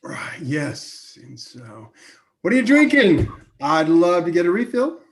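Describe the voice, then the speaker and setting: Man playing hearty, animated older family man. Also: fairly high pitch, unhurried, creaky, heavily acted. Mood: eager, friendly. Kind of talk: comedy script table read.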